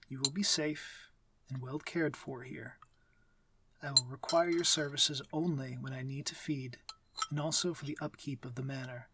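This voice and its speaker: A man playing a courtly, aloof but civil vampire master in an ASMR fantasy roleplay, speaking formally and with measured authority. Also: mid-range voice, fairly even intonation, background noise, lightly acted. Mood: reassuring, formal. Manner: formal, measured, commanding diction. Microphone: close, dry microphone.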